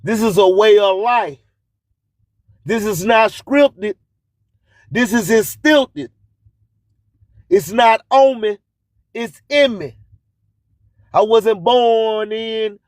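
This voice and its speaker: A male radio host speaks in a high voice at a slow, deliberate pace. He delivers motivational spoken word with preachy emphasis, returning to catchphrases. The sound is close and dry. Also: no echo.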